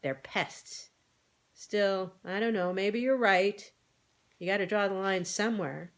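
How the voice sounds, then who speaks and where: A woman's voice: medium pitch, slow pace. A woman voicing a folksy old male horse with rustic grammar in an animal storybook. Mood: grudging.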